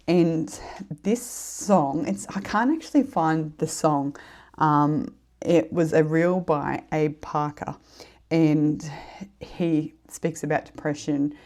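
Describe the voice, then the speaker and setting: A middle-aged woman with a head cold, hosting her own podcast in a casual, earnest, rambling way. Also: low-pitched. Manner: warm, earnest, rambling with fillers. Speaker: podcast host. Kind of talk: casual solo podcast chat.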